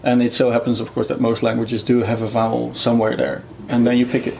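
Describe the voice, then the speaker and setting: A man's voice: mid-range voice, flat delivery, fast, vocal fry, darkish tone, slight background noise. A man whose first language is Dutch, explaining research in a thoughtful conversational way, with many fillers and restarts. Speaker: guest researcher.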